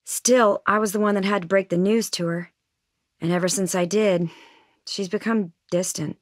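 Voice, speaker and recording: A woman's voice: medium pitch, steady tone, moderate pace, no echo, clean studio sound, lightly acted. A woman playing an earnest, anxious teenage girl survivor in a video game, friendly and folksy but hesitant and weighed down by guilt. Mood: guilty, hesitant. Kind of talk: naturalistic video game voice acting.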